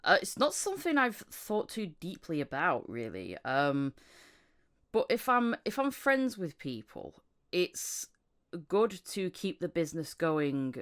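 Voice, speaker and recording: A woman hosting a podcast in casual interview chat, informal and a little halting in her phrasing. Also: on the low side, very animated. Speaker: podcast host, voice actor.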